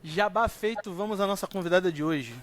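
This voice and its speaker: Male podcast host, Brazilian accent, notably high voice, conversational presenting style. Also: a little fast. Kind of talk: podcast introduction and interviewing.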